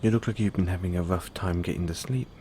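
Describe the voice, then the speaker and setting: A man's voice: low-pitched, quick pace, mellow, slight background noise, lightly acted. A man playing a playful, affectionate boyfriend in an intimate bedtime audio roleplay. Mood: affectionate, soothing. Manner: teasing, affectionate.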